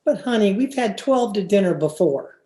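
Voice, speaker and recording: A woman's voice: medium pitch, lively intonation, moderate pace, dark tone, close to an everyday voice. A woman playing a sheepish, placating husband in an old-time radio sitcom, hesitant and apologetic. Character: sheepish, placating suburban husband, a mother's boy. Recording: roomy, echoing home video-call recording.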